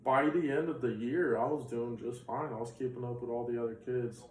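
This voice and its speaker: A man's voice: mid-range voice, steady tone, a little fast. A young man in his twenties, originally from Bulgaria with Bulgarian as his mother tongue, tells his story in a loose, rambling interview manner. He sits some way from the microphone, and the room gives his voice a noticeable echo. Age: 20s. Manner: rambling, filler-heavy recollection. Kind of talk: casual interview. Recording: roomy sound from a distant mic.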